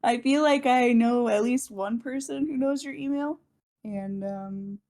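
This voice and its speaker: A woman's voice: on the high side, measured pace, mellow. A young woman chatting casually on a podcast, playful, giggly and self-deprecating. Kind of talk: casual chat.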